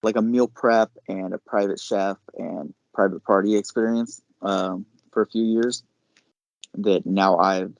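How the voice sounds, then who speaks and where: A man, probably middle-aged, talking casually as a podcast guest, explaining things in a halting, reflective way. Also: lowish voice, steady tone, creaky, no echo. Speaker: podcast guest, chef and caterer. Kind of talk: casual interview chat.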